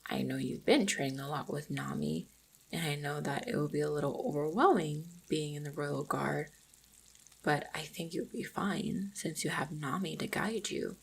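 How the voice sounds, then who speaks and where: A woman playing a gentle, devoted orc hunter in a roleplay audio drama, speaking tenderly and reassuringly with a husky, creaky voice. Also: deep voice, moderate pace, full character voice. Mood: surprised, caring. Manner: tender, reassuring, softly spoken. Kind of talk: roleplay audio drama, intimate monologue to the listener.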